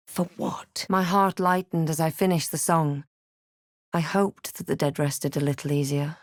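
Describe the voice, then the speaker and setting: Fantasy game heroine, guarded adventurer, wry, deliberate dramatic delivery, very dry studio sound. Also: female voice, lowish voice, no echo. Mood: wry, watchful. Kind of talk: scripted fantasy game voice lines and narration.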